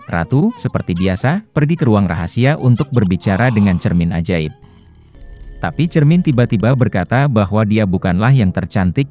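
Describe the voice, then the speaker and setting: A man's voice: on the low side, warm tone. A man reading scripted fairy-tale narration for children as a storyteller. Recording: music behind the voice. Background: background music.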